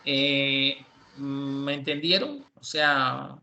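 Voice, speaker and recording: A man from Cuba teaching an online university class, relaxed and chatty with many fillers. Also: medium pitch, very slow, gravelly. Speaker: university professor.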